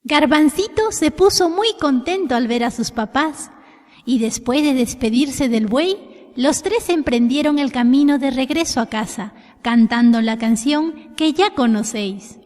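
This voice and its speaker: A woman narrating a scripted children's tale in an expressive storytelling style. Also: high-pitched, a little slow. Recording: long hall reverb.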